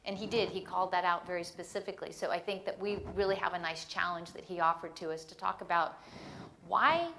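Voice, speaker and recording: A woman moderates a conference panel with a lower voice. She sounds upbeat and encouraging to the crowd. A faint noise sits behind her. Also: fairly quick, gravelly. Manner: energetic, encouraging, many fillers. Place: conference stage before an audience.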